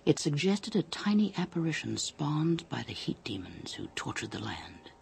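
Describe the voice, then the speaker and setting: A man's voice: on the high side, big pitch swings, normal speed, gravelly. A man giving a suspenseful, theatrical narration of a scripted story.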